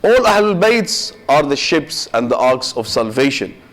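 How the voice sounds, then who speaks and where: Male preacher, middle pitch, slow short phrases, asks the room questions, arabic blessings mixed in. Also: very animated, fairly bright tone. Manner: slow, measured delivery in short phrases with pauses; addresses listeners directly and asks rhetorical questions. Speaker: sheikh giving a Muharram sermon. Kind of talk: sermon.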